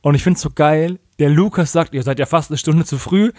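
A man's voice: a little high, brisk, a little mellow, no room sound, studio quality. A man co-hosting a casual comedic recap podcast, slangy and mockingly acting out quoted dialogue. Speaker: podcast co-host.

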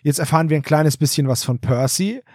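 A man's voice: a little high, brisk, darkish tone, no room sound, studio quality. A man co-hosting a casual podcast chat, retelling a book's plot in loose running summaries. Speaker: podcast co-host summarizing the book.

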